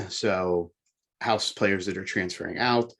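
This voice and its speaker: A man's voice: low voice, slow, fairly bright tone. A man co-hosting a sports podcast, going through sports news and talking it over. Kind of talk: sports news read and discussion.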